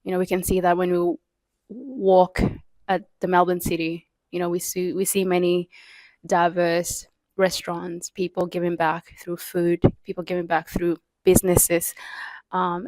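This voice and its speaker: Flat-toned earnest woman. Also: fairly low pitch, dry recording, poor audio. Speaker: panel speaker. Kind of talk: speech at a panel event.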